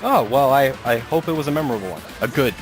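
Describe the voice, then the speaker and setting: A man's voice: mid-range voice, lively intonation, fast talker, over music. A man hosting a casual interview as a writer and producer, talking in a rambling, self-deprecating way.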